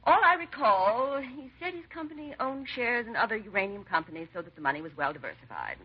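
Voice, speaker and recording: A woman's voice: a little high, sing-song, quick pace, husky. A woman acting a genteel, dignified and trusting charity hostess in a 1950s radio drama, with a proper, hesitant manner. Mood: composed, chatty. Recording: muffled, noisy 1950s radio recording.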